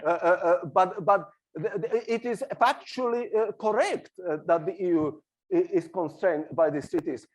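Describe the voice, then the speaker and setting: A man's voice: high-pitched, normal speed, darkish tone. A middle-aged man from Slovakia with a Slovak accent, arguing his points on a discussion panel and hesitating often. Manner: argumentative, hesitant with many fillers. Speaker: panel speaker. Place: small hall on a stage.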